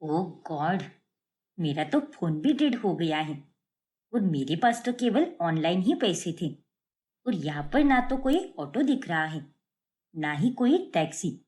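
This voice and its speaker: A woman's voice: fairly low pitch, big pitch swings, slow pace, darkish tone. A woman voicing a friendly, chatty young student in a cartoon story, breezy and casual. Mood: worried. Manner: breezy, casual, English slang mixed in.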